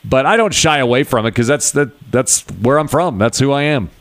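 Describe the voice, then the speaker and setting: A man's voice: mid-range voice, animated, fairly quick. A man in his fifties from California, co-hosting a casual morning radio chat and often repeating words as he starts a thought.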